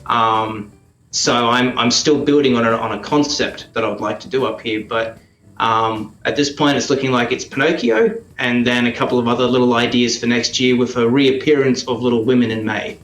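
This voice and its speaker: A man with an Australian accent giving conversational interview answers in a halting way, as if thinking aloud. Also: medium pitch, a bit bright, reverberant, low-quality recording. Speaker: radio play producer and performer, interview guest.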